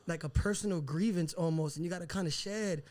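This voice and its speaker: Young male musician guest, quick and high-pitched, lively storytelling. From Puerto Rican roots. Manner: animated storyteller, acts out voices. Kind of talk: casual podcast conversation.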